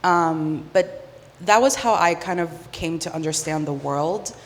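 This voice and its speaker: A woman's voice: sits low, a little slow, long reverb tail. A woman from the Dominican Republic, a guest on a radio interview, talking earnestly with many "um"s. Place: community radio studio.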